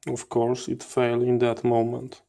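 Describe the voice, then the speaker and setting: Man, electronics teardown host, flat intonation, gravelly creak, halting delivery. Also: medium pitch. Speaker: host of a radio electronics channel. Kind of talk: hands-on teardown commentary.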